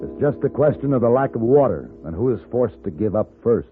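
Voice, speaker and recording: An actor playing a suave, commanding gunfighter for hire in a 1950s radio western, with a smooth theatrical delivery. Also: male voice, medium pitch, sing-song, brisk, loud music behind the voice, lightly acted. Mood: defiant. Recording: muffled 1950s radio broadcast recording.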